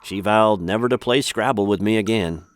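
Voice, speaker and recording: A man's voice: on the low side, normal speed, lightly acted. A man with an American accent playing a grumpy, hapless prisoner in a comic radio sitcom, sardonic and exasperated.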